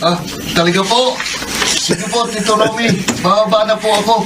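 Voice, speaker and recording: An actor playing a polite, eager young son in a Filipino radio drama. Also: male voice, high voice, normal speed, natural voice. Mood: happy, excited. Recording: distant mic, loud background noise.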